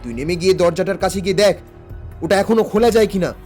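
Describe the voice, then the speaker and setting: A man's voice: high-pitched, quick pace, darkish tone, soft background music, close to an everyday voice. Man acting as commanding, protective detective uncle, Kakababu. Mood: urgent, commanding. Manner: urgent, authoritative, reassuring. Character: brave, protective older male detective uncle, grave and commanding. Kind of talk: Bengali audio story acting.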